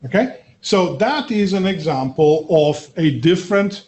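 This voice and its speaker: A man's voice: sits high, expressive, measured pace, darkish tone. Hesitant male lecturer. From Belgium, raised in Italy. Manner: conversational, hesitant with many fillers. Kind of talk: university lecture. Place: classroom.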